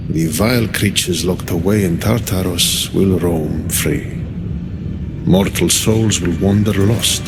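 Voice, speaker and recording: A man's voice: sits low, slow pace, heavy background noise. An actor playing a sardonic, volatile god king of the underworld in a video game, with theatrical, mock-courteous menace. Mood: ominous. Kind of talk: video game cinematic acting. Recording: loud music behind the voice. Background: loud cinematic game music.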